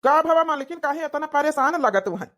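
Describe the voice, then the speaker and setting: A woman's voice: high-pitched, expressive, brisk, fairly bright tone. A woman voicing a sensible old village father in a cartoon, plain and placating, with an Awadhi accent. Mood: concerned. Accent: Awadhi, rural north India. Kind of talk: cartoon comedy dialogue.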